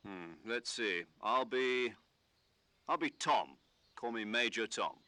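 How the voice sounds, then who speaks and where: A military commander from a video game, calm and in full authority. His voice is crisp-edged and bright, recorded very dry. He briefs in a measured, instructive way. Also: mid-range voice, dry recording. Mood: stern, wry. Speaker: mission commander giving radio briefing. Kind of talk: scripted video game voice acting.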